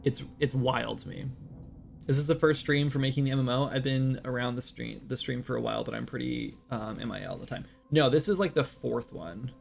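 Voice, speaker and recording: Gravelly man in his thirties chatting. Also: medium pitch, fairly quick, faint music behind. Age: 30s. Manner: rambling, self-deprecating, lots of fillers and "like". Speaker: game developer streaming. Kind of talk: casual livestream chat while working.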